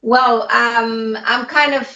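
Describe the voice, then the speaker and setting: A woman's voice: fairly high pitch, steady tone, takes it slowly, pushed and bright. Dull roomy audio, young woman, Spanish accent, casual chat, interview guest. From Spain. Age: young adult. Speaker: interview guest, dance instructor.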